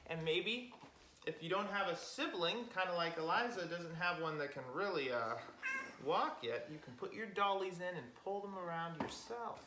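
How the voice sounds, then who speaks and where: Low-pitched, lively woman telling children's stories. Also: normal speed, slight background noise. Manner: simple, explanatory talk addressed to children. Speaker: children's storytime host. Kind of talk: storytelling and singing to young children. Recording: roomy echo, music behind.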